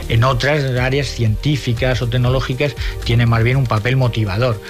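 A man, a university head, explaining deliberately in an archival interview. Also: mid-range voice, steady tone, music in the background. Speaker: university rector.

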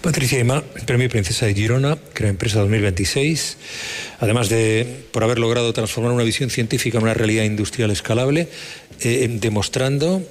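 A man hosting a conversational interview in a deliberate, admiring manner. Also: mid-range voice. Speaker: interviewer and award event host.

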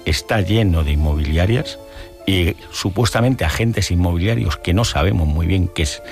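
A middle-aged man talks earnestly and steadily, listing example after example. His voice sits fairly low, and faint music plays beneath it. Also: normal speed, creaky, a little mellow. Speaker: neighborhood association secretary. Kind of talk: interview testimony.

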